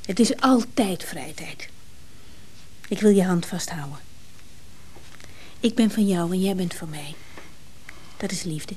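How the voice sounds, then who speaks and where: A woman's voice: on the low side, lively intonation, slow, long pauses, mellow, a little hiss or hum. An actress playing an affectionate, evasive married woman in a radio drama. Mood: tender, seductive. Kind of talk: intimate radio drama acting.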